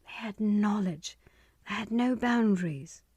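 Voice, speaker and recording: A woman reading a spiritual text aloud in a soft, often half-whispered delivery. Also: medium pitch, a little slow, slightly rough, no room sound. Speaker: reader.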